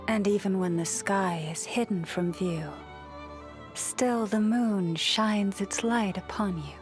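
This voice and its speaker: Game casting: actress as a kind, serene priestess who guards nature; soft, soothing, reverent delivery at a slow pace, mid-range female voice. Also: mid-range voice, close to an everyday voice. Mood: serene, tender. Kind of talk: scripted video game character voice lines.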